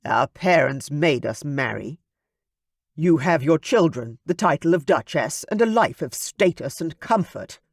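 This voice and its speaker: Brief: narrator playing an aloof duke, cold and firm, lively pitch. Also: unhurried, husky, no room sound, studio quality. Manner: cold and dismissive. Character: cold, dismissive aristocratic man, a duke. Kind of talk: audiobook character voice.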